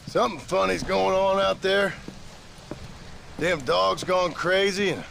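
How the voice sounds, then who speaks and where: An actor playing an uneasy, weathered frontier rancher who talks low and wary. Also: male voice, fairly even intonation, measured pace, creaky, a bit bright, slight background noise, exaggerated voice.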